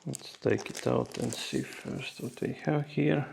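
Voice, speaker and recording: A man's voice: medium pitch, sing-song, a little slow, vocal fry, darkish tone, a little hiss or hum. A man talking casually through a hands-on tutorial step by step, with non-native English phrasing. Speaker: host of a motorcycle gear how-to video.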